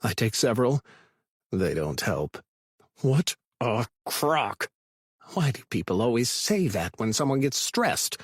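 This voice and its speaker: A man's voice: medium pitch, slightly rough, dry recording, studio quality. A man playing an obsessive, possessive stalker in a thriller audiobook, narrating in the first person with brooding, self-absorbed intensity. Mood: frustrated, scheming. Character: obsessive, possessive male stalker, frustrated and scheming.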